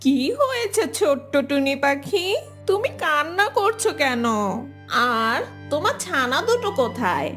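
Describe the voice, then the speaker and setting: A woman's voice: sits high, very animated, a little slow, a bit bright, soft background music, heavily acted. A woman voicing a concerned, inquisitive female crow in a cartoon, speaking in a questioning, sympathetic way. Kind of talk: cartoon character dialogue.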